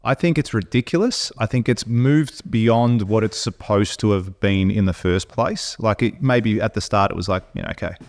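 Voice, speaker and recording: An Australian man with a fairly low voice holds forth on a podcast, blunt and opinionated. He restarts sentences often and pushes his points in an animated way. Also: moderate pace, few pauses. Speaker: podcast co-host. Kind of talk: casual opinionated chat.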